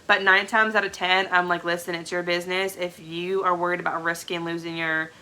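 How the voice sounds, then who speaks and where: A woman's voice: on the low side, little pitch movement, fairly quick, pushed and bright. A young woman, stuffed up from congestion, chatting casually as she trains new sellers on a live stream from home and often checking in with "okay?". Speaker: direct sales team leader. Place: home room with a product shelf.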